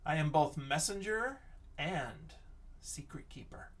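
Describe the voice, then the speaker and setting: A man teacher reading a picture book aloud and chatting to children, expressive, often dropping into hushed whispers. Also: fairly high pitch, slow pace, far from the mic.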